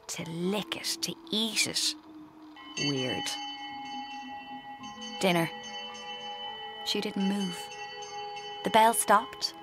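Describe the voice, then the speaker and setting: A young woman with an Irish accent acting a morbid, cheeky aspiring writer in a radio drama, narrating in a hushed, deliberate way. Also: mid-range voice. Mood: wry. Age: young adult.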